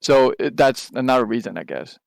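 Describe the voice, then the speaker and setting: Gravelly man. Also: mid-range voice, animated, fairly quick, no room sound. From Taiwan. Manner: rambling, with many restarts and hesitant fillers. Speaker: podcast co-host. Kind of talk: casual chat.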